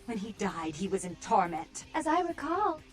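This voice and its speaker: A woman playing a vampire with two warring personalities, stern and wild, in dramatic video game acting that swings between hushed and fierce. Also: medium pitch, sing-song, moderate pace, soft background music, natural voice. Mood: bitter, grieving.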